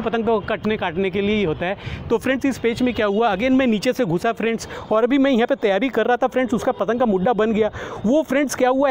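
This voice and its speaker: A middle-aged man speaks in a notably high voice, explaining things casually as a vlogger would. He addresses his viewers as friends. He is recorded outdoors on a lapel mic, with faint urban and traffic noise behind him. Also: darkish tone. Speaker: kite flyer presenting his vlog. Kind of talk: casual vlog commentary. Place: outdoors on an open rooftop. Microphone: clip-on lapel microphone. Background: faint outdoor city and vehicle noise.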